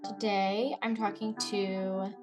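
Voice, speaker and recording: A woman hosts a podcast in an easy, unhurried way, pausing often and filling gaps with "um" and "uh." Music plays clearly underneath her voice. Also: mid-range voice, flat delivery. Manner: halting, with many fillers and restarts. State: lost voice, whispering. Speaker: podcast host. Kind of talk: casual podcast interview.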